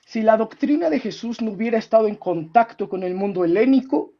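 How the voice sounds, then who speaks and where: A man's voice: high-pitched, animated, brisk, a loud crowd. Mexican-accented male debater, self-styled historian, repeats himself and talks down, muffled lo-fi sound. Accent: Mexican Spanish. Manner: interrupts, repeats words, patronizing "mi estimado". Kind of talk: heated debate on a call.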